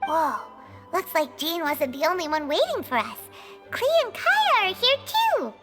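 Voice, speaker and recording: A tiny, excitable fairy companion from a video game. The voice is squeaky and childlike, extremely high and wildly animated, with slow, drawn-out delivery. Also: female voice, loud music behind the voice, heavily acted. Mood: surprised.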